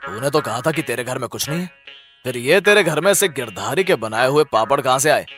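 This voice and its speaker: A man's voice: medium pitch, expressive, brisk, fairly bright tone, close to an everyday voice. Guy doing angry village boss for a cartoon, bossy and accusing, music under it. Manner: commanding, accusing. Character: stern, angry village headman confronting wrongdoers. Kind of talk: Hindi cartoon story acting.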